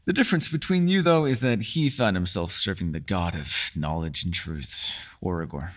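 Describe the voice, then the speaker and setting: A man's voice: deep voice, expressive, moderate pace. A man playing a mysterious, learned elf in a fantasy ASMR roleplay, talking to the listener in a bookish, rambling and playfully formal way. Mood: explanatory, patient. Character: mysterious, learned male elf, talkative and gently teasing.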